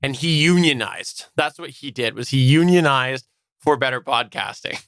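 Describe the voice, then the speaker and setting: A man's voice: medium pitch, moderate pace, vocal fry, a bit bright, clear, resonant tone, no room sound, clean studio sound. A man chatting casually as the co-host of a podcast.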